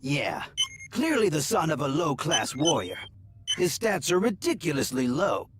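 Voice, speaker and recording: A man's voice: fairly high pitch, sing-song, measured pace, over music. An actor voicing a scornful, coolly dismissive male alien warrior in a game, matter-of-fact and disdainful. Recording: clear background noise.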